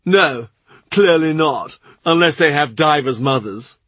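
A wry, teasing courtier, played by a male narrator, pitched above the narration and said with a little push. Husky and dry, set close to the mic. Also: animated, measured pace, fairly bright tone, no room sound. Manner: dryly teasing.

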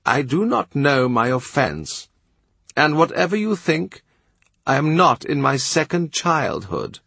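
A man doing a frail old bishop, high and mild. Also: sing-song, measured pace, vocal fry, pushed and bright, husky, no room sound, full character voice. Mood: mild, earnest. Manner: mild, pleading, sad. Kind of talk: audiobook character reading.

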